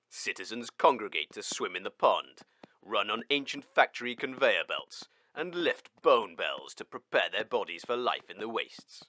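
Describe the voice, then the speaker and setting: A man's voice: fairly low pitch, sharp tone, dry recording. A man playing a pompous, melodramatic crusading reporter delivering a mock-serious comedy report. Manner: grandiose, melodramatic. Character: pompous crusading male reporter dispensing justice.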